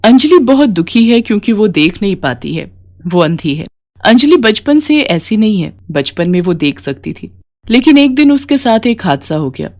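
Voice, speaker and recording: A woman's voice: medium pitch, sing-song, normal speed, a little mellow. A woman storyteller reading a scripted moral tale.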